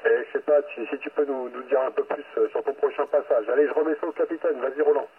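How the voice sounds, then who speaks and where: A man's voice: mid-range voice, fairly even intonation, fast. A man chatting casually over two-way radio, passing news and greetings around as one operator among several. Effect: radio transmission. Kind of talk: casual CB radio chat in turns. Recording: tinny radio channel, faint static.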